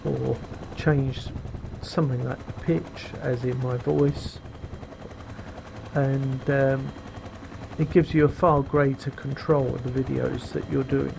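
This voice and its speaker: A middle-aged man with a very deep voice, giving casual commentary while flying a simulated helicopter, unhurried and full of fillers, on a cheap headset microphone. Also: little pitch movement, vocal fry. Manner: unhurried, explains as he flies, frequent fillers. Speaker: flight sim video maker. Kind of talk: casual flight sim commentary. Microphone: cheap gaming headset microphone. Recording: loud helicopter engine noise behind. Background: helicopter sim engine and rotor noise.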